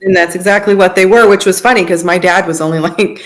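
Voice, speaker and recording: A woman's voice: lowish voice, steady tone, fast. An American woman, around her thirties, telling a personal story in a conversational way as a podcast guest.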